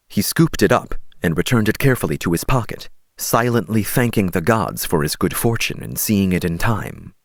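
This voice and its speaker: A man narrating an audiobook as scripted dramatic storytelling, measured and expressive. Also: fairly low pitch, dry recording, studio quality.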